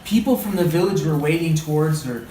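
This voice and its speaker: A man reading a story aloud as a teacher, with dramatic, deliberate phrasing and questioning asides. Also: a little high, dark tone, faint music behind. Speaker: read-aloud teacher and trainer. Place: indoor room, training session. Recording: echoey room, distant mic, background noise.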